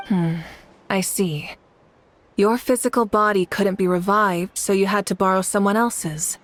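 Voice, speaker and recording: A woman voicing a composed female fire goddess who leads her nation, calm, polite and measured in a video game cutscene. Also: mid-range voice, natural voice. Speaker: leader. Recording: game audio with faint music.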